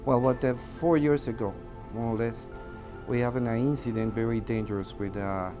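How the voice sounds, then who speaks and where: A man's voice: medium pitch, fairly even intonation, normal speed. A man with a Mexican Spanish accent, a native Spanish speaker, giving interview testimony as an air traffic controller, hesitantly searching for words. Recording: music under the voice. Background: background music.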